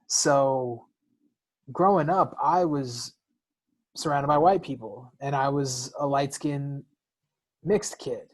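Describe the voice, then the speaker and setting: Reflective man, halting podcast talk. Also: mid-range voice. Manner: reflective, halting, frequent restarts and repeated words. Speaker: podcast co-host. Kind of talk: casual personal conversation.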